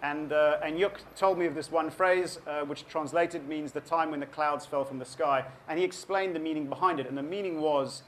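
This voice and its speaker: A man's voice: a little high, steady tone, moderate pace, hardly any pauses, slight background noise. A South African man in his forties giving an author talk to an audience, thoughtful and full of ums and restarts. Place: event room with an audience.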